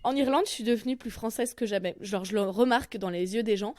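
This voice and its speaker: A young woman from France with a Parisian accent, hosting a comedy podcast with a self-mocking, animated delivery and crude asides. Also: mid-range voice, fast, over music. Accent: Parisian French. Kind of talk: comic monologue podcast.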